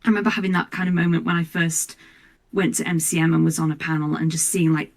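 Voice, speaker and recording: A woman speaking casually and earnestly as an interview guest, stressing points by repeating words, with a creaky voice. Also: low voice, level tone, fast. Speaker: voice actor, podcast guest. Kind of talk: casual, heartfelt interview chat.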